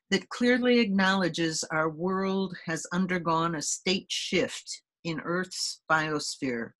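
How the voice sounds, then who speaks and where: Female host, gentle and unhurried, guides a meditation, slightly low voice. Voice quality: soft, gentle, deliberate delivery. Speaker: host leading a group conversation. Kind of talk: calm guided meditation introduction and reading.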